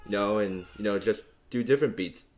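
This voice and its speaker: Deep-voiced man teaching beatboxing. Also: brisk, over loud music. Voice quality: bass voice. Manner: relaxed, rambling, many fillers. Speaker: beatbox tutorial host. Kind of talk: casual instructional talk.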